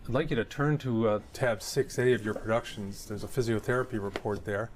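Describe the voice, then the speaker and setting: A man's voice: fairly low pitch, steady tone, brisk, lightly acted. A man playing a methodical lawyer who questions an injured claimant in a formal, probing way. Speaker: examining lawyer. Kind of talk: staged legal discovery questioning.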